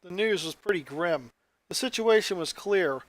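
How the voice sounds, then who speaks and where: A man's voice: sits high, lively intonation, moderate pace, no echo, rough recording. A man reading a piece of fan fiction aloud as narrator, in scripted story style.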